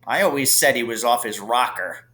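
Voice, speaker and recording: A man's voice: mid-range voice, normal speed, sharp tone, pitched below the performer's own voice, close to an everyday voice. A man voicing a blunt, curious schoolboy sidekick who is easily impressed.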